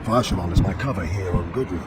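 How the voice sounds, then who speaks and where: An actor playing a composed, commanding inquisitor in a science-fiction game, with measured, formal authority. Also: male voice, sits low, over loud music, natural voice. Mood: guarded. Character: composed, commanding adult inquisitor, shrewd and serious. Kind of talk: dramatic science-fiction game cutscene acting. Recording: loud background noise.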